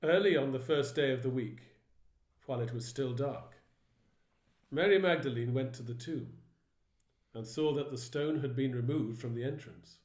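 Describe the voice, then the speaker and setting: Male vicar narrating scripture, mid pitch, steady, roomy echo. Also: moderate pace. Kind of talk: dramatised Bible reading narration.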